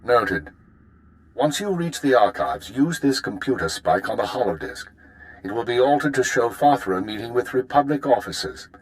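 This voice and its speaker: Male spymaster, mid-pitched, composed, clipped formal delivery, authoritative, video game briefing. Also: big pitch swings, normal speed, poor audio. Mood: briskly instructing. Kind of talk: video game character dialogue.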